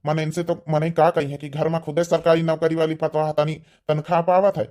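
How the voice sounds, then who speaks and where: A man's voice: on the high side, level tone, brisk, darkish tone, lightly acted. A man with an Awadhi accent playing a disappointed, reproachful villager in a cartoon comedy.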